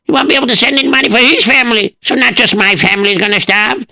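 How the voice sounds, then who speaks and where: A man's voice: very high voice, very fast, sharp tone, voice pushed up, exaggerated voice. Man putting on a fretful farmer. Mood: distraught. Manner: fretful, sing-song, wailing. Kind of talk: storytelling.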